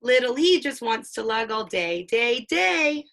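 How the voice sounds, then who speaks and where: A mother reading a children's picture book aloud, with sing-song storytelling and drawn-out sound words. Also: a little high, very animated, gravelly, a bit bright. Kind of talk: children's read-aloud with questions.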